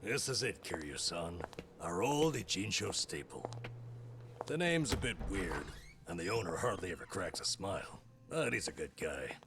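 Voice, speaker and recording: A jovial, warm-hearted middle-aged working guy from a video game. The voice sits very low but swings widely in pitch. He talks informally, blurting things out with plenty of expression. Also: sing-song, moderate pace, background noise. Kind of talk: dramatic video game acting.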